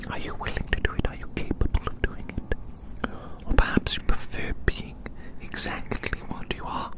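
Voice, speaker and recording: An ASMR performer whispering a rambling, theatrical roleplay monologue outdoors, softly repeating phrases in wordy language. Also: normal speed. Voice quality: soft unvoiced whisper. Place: outdoors in a large open field.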